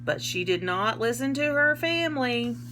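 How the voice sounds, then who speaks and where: A woman reading a picture book aloud to young children like a teacher, expressively, pausing to explain words in simple terms. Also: on the high side, lively intonation, sharp tone, slight background noise.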